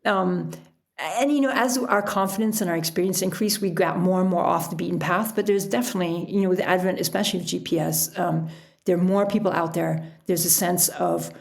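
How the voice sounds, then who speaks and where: Creaky-voiced woman in reflective conversation. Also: fairly low pitch, a little fast. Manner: reflective, chuckles often while talking. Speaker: podcast guest, author and sailor. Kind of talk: casual interview conversation.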